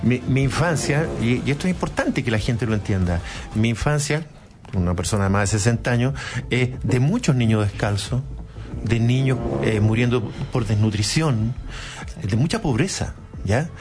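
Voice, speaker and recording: A Chilean man in his sixties, speaking emphatically and deliberately as a radio panelist. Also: medium pitch, lively intonation, music in the background. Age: 60s. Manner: emphatic, deliberate, stretches words.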